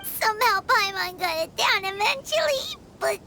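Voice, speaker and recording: A woman voicing a tiny, cheeky, excitable childlike fairy companion in a video game, blunt and exclaiming. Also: extremely high pitch, normal speed, very noisy. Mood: chatty, opinionated. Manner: speaks of self in third person, exclaiming.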